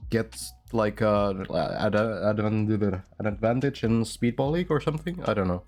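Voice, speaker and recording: A man's voice: on the low side, moderate pace, darkish tone, soft background music. A man from Finland, casting an online game match in a loose, rambling way, full of fillers and restarts. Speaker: esports caster. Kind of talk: casual game commentary.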